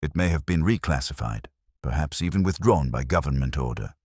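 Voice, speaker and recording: Storybook archive official, read by a man: guarded, polite, careful, formal, very low-pitched, close dry sound. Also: expressive, a little fast, darkish tone, husky, no echo, heavily acted. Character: guarded, courteous male senior records official. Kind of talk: audiobook character reading.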